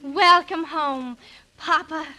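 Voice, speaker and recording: A woman's voice: sits high, slow pace, pushed and bright, exaggerated voice. An actress playing a playful, sweet and coy young laundry girl in a 1940s radio operetta. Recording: old 1940s radio broadcast recording.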